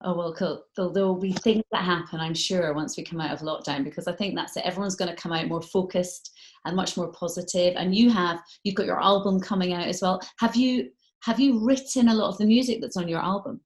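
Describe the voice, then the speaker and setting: A woman's voice: fairly low pitch, steady tone, brisk. A woman presenting a casual interview, chatty and encouraging. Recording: echoey room on video call.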